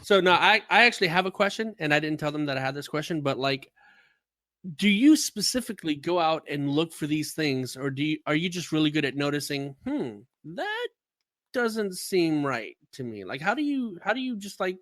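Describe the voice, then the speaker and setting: A man's voice: on the high side, very animated, moderate pace, a bit bright, no room sound, clean studio sound. A man hosting a podcast, teasing and rambling in casual chat, a father of a gamer son. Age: adult with a son. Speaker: podcast host.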